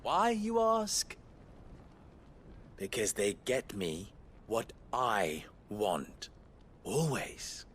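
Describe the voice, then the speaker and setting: An actor in a cinematic video game playing a young merchant boss who is sly, smug and condescending, with mocking, mannered rhetorical questions. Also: male voice, on the low side, sing-song, drawn-out words, pauses often, fairly bright tone, slight background noise, full character voice. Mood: gloating.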